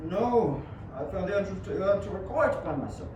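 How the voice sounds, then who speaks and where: Through heavy echo and clear noise, a young man plays a genteel university professor in a radio drama. He is high-voiced, formal and courteous. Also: animated, fast, a little mellow. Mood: courteous, eager. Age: young adult. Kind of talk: amateur radio play acting. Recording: very echoey, distant, noisy.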